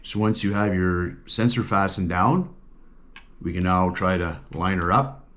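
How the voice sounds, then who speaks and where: A man's voice: low voice, measured pace, fairly bright tone. A middle-aged man giving a casual, matter-of-fact how-to demonstration at an indoor workbench, talking while he works with his hands. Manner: plain, matter-of-fact explaining with fillers. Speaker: outdoors channel host.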